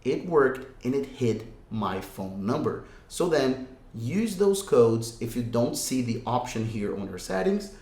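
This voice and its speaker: Male tech tutorial host, casual and upbeat, very lively intonation, emphatic and reassuring, echoey room. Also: medium pitch, a little slow. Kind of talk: casual step-by-step video tutorial. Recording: roomy, echoing room sound.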